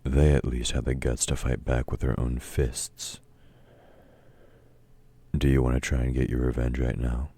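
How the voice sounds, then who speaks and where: Man acting very deep, husky, domineering villain. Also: little pitch movement, measured pace, long pauses, warm tone, dry recording, heavily acted. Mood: mocking, dismissive. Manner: gruff, mocking, commanding, addressing a meek listener. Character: domineering, taunting male villain, grudging temporary ally of heroes. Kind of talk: intimate audio roleplay, scripted dramatic acting.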